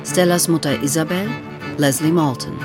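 A woman's voice: sits low, fairly even intonation, moderate pace. A woman reading out the title and cast credits of a children's radio play as a scripted announcement. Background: music.

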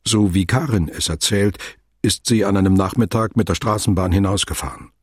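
An actor playing a detached literary narrator in a radio drama, reading scripted prose in a measured, precise way. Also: male voice, sits low, slightly rough, no room sound, studio quality.

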